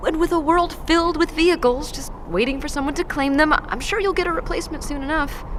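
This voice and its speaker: A woman's voice: high voice, quick pace, fairly bright tone. A woman playing a hopeful, level-headed survivor in an audio drama. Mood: wry, practical. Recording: clear background noise, vehicle ambience.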